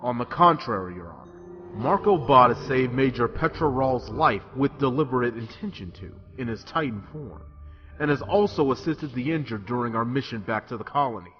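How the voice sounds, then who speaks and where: A man's voice: medium pitch, expressive, normal speed, highs cut off, faint music behind, lightly acted. A man playing a composed, steadfast military commander in an audio drama, speaking formally and articulately. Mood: formal, resolute. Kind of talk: fan audio drama acting.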